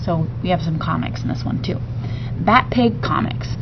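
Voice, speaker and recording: Female teacher-type storyteller, expressive children's book narration; dull home recording with light background noise. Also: lowish voice, normal speed. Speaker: teacher reading a book aloud. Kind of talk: expressive read-aloud narration of a children's novel.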